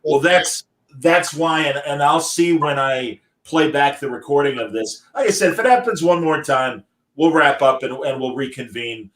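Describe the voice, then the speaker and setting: A man hosting a live online interview over a video call, enthusiastic and quick to praise. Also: a little high, a bit bright. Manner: enthusiastic, effusive. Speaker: host. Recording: roomy echo.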